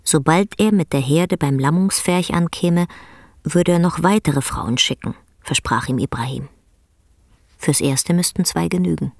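A woman narrating a literary radio play in a measured storytelling delivery. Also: deep voice, gravelly, dry recording, studio quality. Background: faint music.

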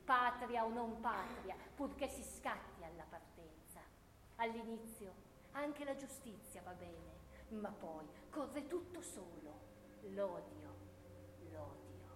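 An actress playing a sardonic orator who delivers a poetic theatrical monologue in a declamatory, ironic way, with deliberate pauses. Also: female voice, mid-range voice, animated, fairly bright tone. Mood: fervent, scornful. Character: sardonic woman orator grandly praising hatred. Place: live radio theatre performance in a hall. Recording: echoey theatre hall, noisy distant recording.

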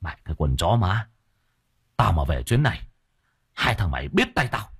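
A man's voice: low-pitched, very animated, moderate pace, a bit bright, husky, dry recording, clean studio sound, exaggerated voice. A man voicing a tough younger brother, snarling with rage. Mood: furious. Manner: snarling, demanding.

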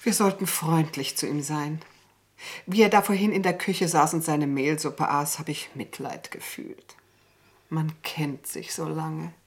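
An actress playing a bossy, reproving spinster lady in a German radio play, measured and critical. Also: deep voice, fairly even intonation. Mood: reproving, pitying.

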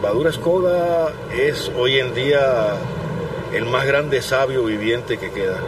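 A man from Cuba, a pianist, giving thoughtful interview answers with pauses and restarts. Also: medium pitch. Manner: thoughtful, pausing, restarts words. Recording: distant mic with background noise.

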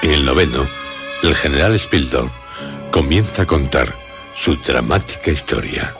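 A man's voice: a bass voice, animated, a little slow. A man reading scripted narration as the announcer of a radio drama. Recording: music under the voice.

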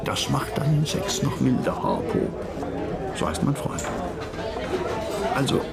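A man's voice: medium pitch, wildly swooping pitch, measured pace, creaky, a loud crowd, heavily acted. An actor playing a cagey, streetwise police informant in a radio crime drama, drawing out his story in a teasing way. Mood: cagey, haggling. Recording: music playing behind the voice.

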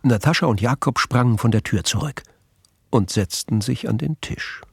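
An actor as the composed storyteller of a mystery radio play, narrating in a measured, literary manner. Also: male voice, mid-range voice, gravelly, husky, no echo, clean studio sound. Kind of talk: scripted narration.

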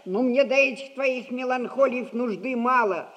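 An older actress playing a rich, sly old merchant matriarch in a theatrical audio comedy, scolding in a sardonic folksy way. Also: female voice, on the high side, moderate pace, lo-fi sound, close to an everyday voice. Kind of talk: theatrical audio play acting.

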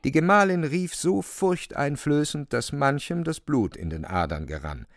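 A male radio-play narrator with a gravelly, very lively delivery. He tells the story wryly and with relish, close and dry. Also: fairly high pitch, moderate pace, dry recording.

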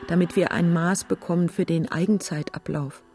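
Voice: medium pitch, steady tone, moderate pace, a little mellow, a little hiss or hum, natural voice. An actor playing a dry, opinionated companion in a science-fiction radio drama. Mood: dry, frank.

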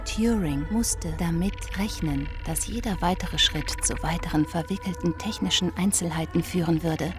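A woman giving measured, scripted narration for a radio documentary drama. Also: fairly low pitch.

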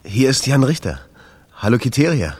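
A man's voice: mid-range voice, very animated, normal speed, heavy background noise, lightly acted. A man playing a weary, stubborn jazz club owner in a naturalistic radio drama. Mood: tentative.

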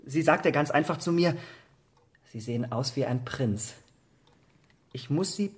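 An actor playing an impressionable, vain young gentleman in a German radio drama, speaking in an earnest, rapturous way. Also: on the high side, a little slow, slightly rough. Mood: lovestruck, eager.

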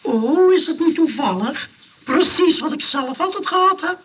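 A man's voice: very high voice, lively intonation, normal speed, a bit bright. A man voicing a haughty, affected, wealthy old lady in a radio comedy. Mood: genteel, condescending. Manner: affected, genteel, condescending. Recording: noisy old radio recording, distant.

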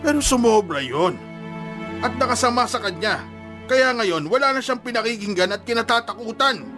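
A man's voice: very high-pitched, animated, moderate pace. An actor playing a stern, exasperated middle-aged father in a radio drama, with music behind.

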